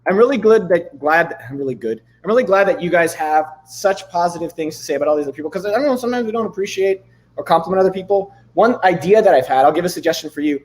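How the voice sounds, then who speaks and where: A man in his thirties hosting a live stream, chatting loosely and swearing casually, a bit hoarse from losing his voice. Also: high voice, expressive, quick pace, a little mellow. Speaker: livestream host. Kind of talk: casual livestream chat with screen demos.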